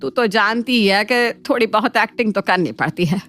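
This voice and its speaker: A woman's voice: medium pitch, normal speed, fairly bright tone, close to an everyday voice. A woman voicing a scheming, middle-aged cartoon mother-in-law who scolds, coaxes and dotes on her daughter. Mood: doting. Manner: scolding, coaxing, commanding. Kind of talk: Hindi cartoon family drama acting. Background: faint background music.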